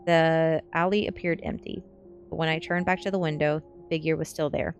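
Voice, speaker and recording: A woman's voice: sits low, fairly even intonation, normal speed. A woman reading a story aloud in the manner of a storybook narrator, close to the microphone. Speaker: streamer reading a novel aloud. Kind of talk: storybook narration, ASMR-style read-aloud. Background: faint background music.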